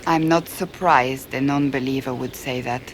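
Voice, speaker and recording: A woman's voice: very deep voice, steady tone, moderate pace, poor audio. A proud woman of faith and arms in a fantasy game. She speaks solemnly and formally, with disillusioned earnestness. A little noise sits behind the voice. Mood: solemn, resolved. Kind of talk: fantasy video game acting.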